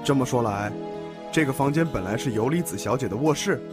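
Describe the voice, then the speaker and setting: A man playing a composed police detective in a radio drama, questioning in a measured, formal way. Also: medium pitch, animated, close to an everyday voice. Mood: calm, probing. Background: music.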